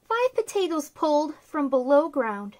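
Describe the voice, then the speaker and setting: Woman, 30s, kids' story time host; high, very animated, bubbly read-aloud in a small room. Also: measured pace. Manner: bubbly, sing-song talk to children. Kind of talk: reading a picture book aloud to kids. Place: small decorated room indoors.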